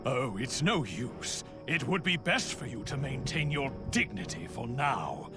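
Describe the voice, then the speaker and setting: A man's voice: medium pitch, sing-song, unhurried. An actor playing a smug, scheming nobleman in a video game, theatrical and falsely polite. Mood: smug, triumphant. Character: smug, scheming courtly nobleman betraying a knight. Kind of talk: dramatic video game cutscene acting. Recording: music behind the voice. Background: game soundtrack music.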